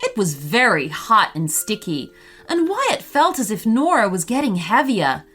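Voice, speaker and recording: Female bedtime story narrator, expressive and slow-paced, with very animated intonation over soft music. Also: medium pitch, slightly rough. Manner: expressive storytelling for young children. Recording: music behind the voice.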